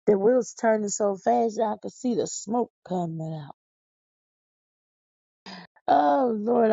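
A woman's voice: mid-range voice, vocal fry, warm tone, breathy edge, no echo. A woman, hosting a podcast in a casual rambling monologue, tired, silly and folksy with exclamations. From Philadelphia. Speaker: podcast host. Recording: dull lo-fi dry recording.

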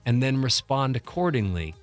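A middle-aged man teaching as a voice coach, emphatic and demonstrating how to change loudness and pitch. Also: very animated, normal speed, soft background music. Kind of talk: motivational teaching talk.

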